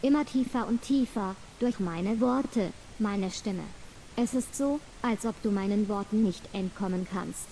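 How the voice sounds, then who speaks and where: A woman's voice: mid-range voice, warm tone, slight background noise, low-quality recording. Soothing woman guiding a hypnotic trance. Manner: slow, repetitive, soothing hypnotic cadence. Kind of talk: scripted hypnosis trance induction.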